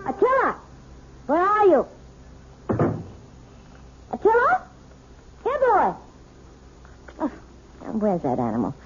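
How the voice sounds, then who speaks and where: An actress playing a genteel woman with a sinister secret, speaking sweetly with an eerie calm, in a 1970s radio drama. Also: very high voice, slow pace, long pauses, full character voice. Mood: cooing. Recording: lo-fi 1970s radio broadcast with hiss.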